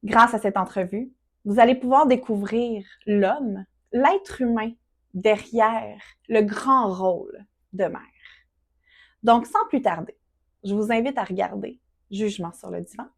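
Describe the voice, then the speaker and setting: A woman's voice: mid-range voice, a little slow. A woman hosting a casual interview, friendly and a bit hesitant with many fillers. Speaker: interview host.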